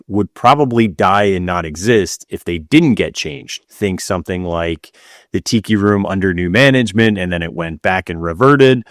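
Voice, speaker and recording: A man's voice: low-pitched, no room sound, clean studio sound. A man co-hosting a podcast, explaining his opinions in a casual, conversational way. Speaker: podcast co-host.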